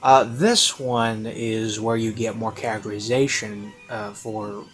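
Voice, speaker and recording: A man's voice: fairly low pitch, fairly even intonation, a little slow. A man giving a casual, unscripted review in a rambling, hesitant way with lots of fillers. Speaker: online reviewer. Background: classical music.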